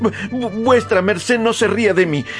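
High-pitched, frightened squire. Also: male voice, normal speed, fairly bright tone, faint music behind. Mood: frightened, pleading. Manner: agitated, pleading, stammering. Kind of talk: Spanish-language radio drama acting.

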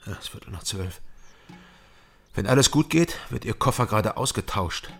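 A self-deprecating investigator from East Germany in a radio crime play. His voice is fairly low with a gravelly fry. He speaks deliberately and with dry irony, music running behind him. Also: lively intonation. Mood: defiant irony. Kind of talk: radio crime drama dialogue and narration.

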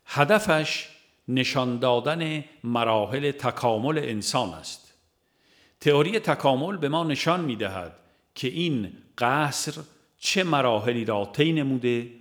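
A man narrating a religious audiobook in a measured, formal way, phrase by phrase. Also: medium pitch, a bit bright. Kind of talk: scripted audiobook reading of a religious book. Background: music.

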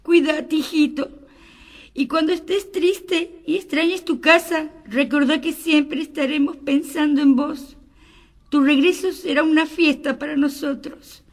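A woman's voice: high voice, fairly even intonation, unhurried. A woman playing a loving, anxious mother of a soldier in a radio play, emotional and tender. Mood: tender, tearful. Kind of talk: amateur radio play acting.

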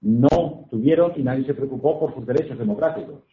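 A man's voice: medium pitch, a little fast. Male interpreter from Spain, mid-range voice, hesitant paraphrasing; lo-fi, phone-like recording with room echo. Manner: paraphrasing each phrase into Spanish, with frequent hesitations. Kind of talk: consecutive interpreting at a book presentation. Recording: echoey hall, tinny phone-like sound.

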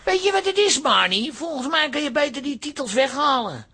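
A man's voice: very high-pitched, lively intonation, brisk, sharp tone, exaggerated voice. An actor in a radio play playing a blunt, simple older man, plain-spoken and hesitant. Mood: blunt, matter-of-fact. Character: blunt, simple older man, naively lewd art viewer. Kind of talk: Dutch radio play acting.

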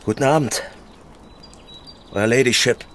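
An actor playing a guarded, deferential gamekeeper in a radio drama, speaking tersely and formally. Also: male voice, medium pitch, measured pace, a bit bright, close to an everyday voice.

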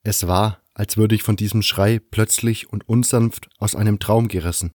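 A man's voice: on the low side, fairly even intonation, normal speed, a little mellow, no echo, clean studio sound. A man reading scripted first-person narration for a fantasy audio drama.

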